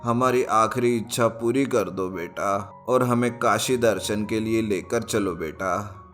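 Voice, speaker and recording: A male narrator acts a frail old village father in a cartoon tale. He is tender and pleading, speaking quietly over background music. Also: fairly low pitch, takes it slowly. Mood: grateful, pleading. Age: older.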